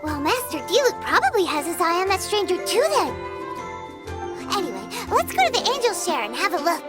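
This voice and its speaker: A woman voicing a tiny, perky, chatty fairy girl guide in a video game, squeaky and childlike, with exclamatory delivery. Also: very animated, brisk, loud music behind the voice. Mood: chirpy. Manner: speaks of herself in third person, exclamatory.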